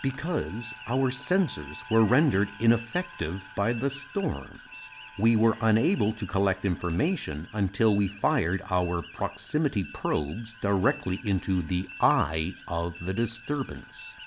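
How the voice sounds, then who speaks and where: Measured, deadpan alien science officer, played by a man. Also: lowish voice, a little hiss or hum. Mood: calm, clinical. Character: calm, precise, logical male alien science officer. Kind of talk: amateur comic-book audio dramatization.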